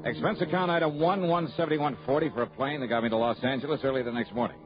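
An actor playing a confident, wry insurance investigator in an old American radio drama, brisk and clipped with an American accent. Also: male voice, mid-range voice, natural voice. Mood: dry, narrating. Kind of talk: mid-century American radio drama acting. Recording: dull vintage radio recording with music.

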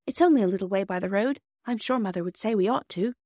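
Lady doing an eager storybook girl, high and excitable, insistent, dry close sound. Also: fast talker, no echo, close to an everyday voice. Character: eager, kind-hearted, excitable young girl.

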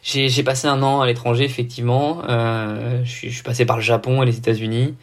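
A man's voice: mid-range voice, flat delivery, a little fast. A young man from the south of France with a neutral French accent, talking casually and explaining things in a hesitant way as a podcast guest. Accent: neutral French, no regional accent. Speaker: podcast guest, amateur triathlete. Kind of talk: casual interview conversation.

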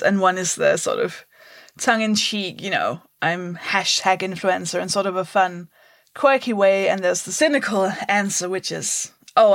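A woman's voice: fairly low pitch, vocal fry, fairly bright tone, dry recording. A woman in her thirties from Denmark, a comedian guesting on a podcast, talking candidly in a wry, self-deprecating way. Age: 30s. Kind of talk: candid interview conversation.

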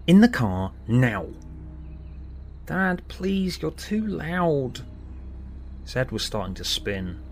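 A man's voice: fairly low pitch, a little hiss or hum. A man reading scripted story narration as an audiobook narrator. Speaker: author and narrator.

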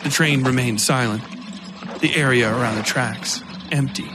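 A man narrates dark fiction from a script. His voice sits at an average pitch for a man. Clear watery, boat-like ambient noise runs behind him throughout. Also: moderate pace.

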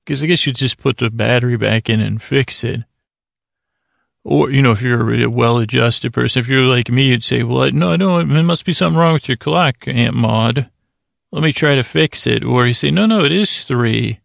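A man with a gravelly, creaky voice delivers an improvised bedtime podcast monologue. He wanders through tangents, stops to correct himself and apologizes in a whimsical way. The recording is dry and close, with no room sound. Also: mid-range voice, no room sound. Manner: meandering, halting, trails off into tangents and self-corrections. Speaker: podcast host.

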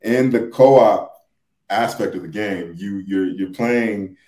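A man talking casually about games as a podcast panelist, haltingly, often repeating and restarting his phrases. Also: fairly low pitch, mellow. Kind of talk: casual gaming discussion. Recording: roomy, echoing sound.

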